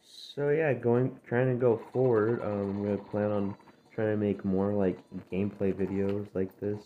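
A man hosting his gaming channel, chatting casually over gameplay with a dry, gravelly voice because he has just woken up. He rambles and corrects himself as he goes. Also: on the low side, fairly even intonation, moderate pace. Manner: rambling, self-correcting, drifting between topics. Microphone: phone screen-recorder audio. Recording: tinny, phone-like, faint noise.

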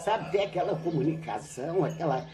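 An older woman, a retired teacher, recounting her school days in a relaxed interview on a home patio. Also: deep voice, a little fast. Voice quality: lively, animated storytelling with laughs. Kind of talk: reminiscing interview. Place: covered outdoor patio at a home.

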